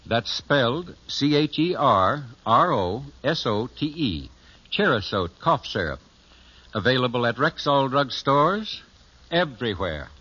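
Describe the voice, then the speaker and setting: An actor playing a trustworthy family druggist, delivering a formal, emphatic scripted commercial on old radio. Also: male voice, medium pitch, very animated, unhurried, bright, forceful tone, slight background noise. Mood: earnest, reassuring. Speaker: commercial pitchman. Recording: muffled vintage radio recording.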